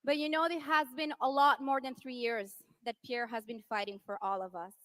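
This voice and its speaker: A woman in her thirties from Venezuela, giving an impassioned political rally speech that rises to rousing shouts. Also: high-pitched, steady tone, brisk. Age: 30s. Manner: impassioned, rising to rousing shouts on key lines. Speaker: politician's wife speaking at a rally.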